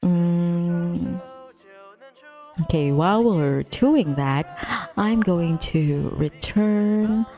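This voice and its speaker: A woman hosts a casual game stream with music playing behind her. Her voice sits a little low and moves at a very slow pace. She is giggly and quick to exclaim. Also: expressive, mellow. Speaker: livestreamer host. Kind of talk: casual livestream chat while setting up and playing a visual novel. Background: background music.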